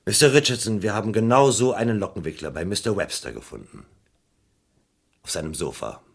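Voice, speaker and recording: Sly, husky-voiced police inspector. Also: male voice, fairly low pitch, expressive, fairly quick, exaggerated voice. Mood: insinuating. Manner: feigned politeness, probing questions, theatrical emphasis. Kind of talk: vintage German crime radio play acting.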